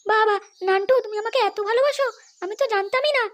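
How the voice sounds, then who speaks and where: A woman's voice: extremely high pitch, quick pace, faint music behind. A woman voicing a bossy, insistent young wife who can sweet-talk or scold coarsely, in a crude comedy cartoon. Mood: coy, worried. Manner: insistent, nagging, coarse when angry.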